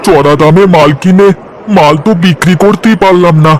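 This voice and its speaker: A man's voice: high voice, brisk, close to an everyday voice. A man voicing a worried, humble poor village vegetable trader in a cartoon story, speaking in a pleading, deferential way. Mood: anxious, pleading. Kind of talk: Bengali cartoon story dialogue.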